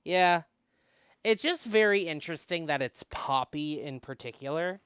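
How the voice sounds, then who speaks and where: A co-host of a book club who chats casually and reads a visual novel aloud, animated and prone to rambling asides. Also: mid-range voice, normal speed, clear, resonant tone, no room sound, studio quality. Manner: animated, rambling, self-interrupting. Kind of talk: casual chat mixed with dramatic read-aloud.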